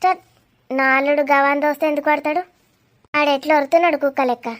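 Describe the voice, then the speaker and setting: A woman's voice: sits high, steady tone, fast talker, close to an everyday voice. A woman playing a stubborn, outspoken village mother in a cartoon. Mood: stubborn, defiant. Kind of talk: animated cartoon dialogue.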